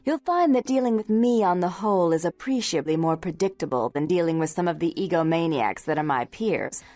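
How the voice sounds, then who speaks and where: A woman's voice: fairly low pitch, animated, fairly quick, darkish tone, no echo, close to an everyday voice. A woman voicing a haughty, controlling vampire nightclub owner in a video game, prim and condescending. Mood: businesslike, imperious. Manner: prim, condescending, formal diction. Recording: music behind.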